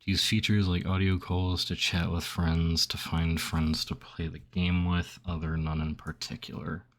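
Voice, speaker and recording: Young male streamer, very deep, slightly phlegmy, loose filler-heavy chatter. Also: little pitch movement, normal speed, fairly bright tone. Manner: rambling, heavy on "like", reads options aloud then reacts. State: phlegmy throat. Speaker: gaming streamer. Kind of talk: casual commentary while filling out a survey.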